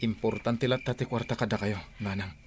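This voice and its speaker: An earnest, stubborn young lover with an Ilocano accent played by a man in a radio play. His voice is on the low side and insistent. There is faint noise and insect chirping behind him. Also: fairly even intonation, brisk, lightly acted. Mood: firm. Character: earnest, stubborn grown son in love. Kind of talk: radio drama acting.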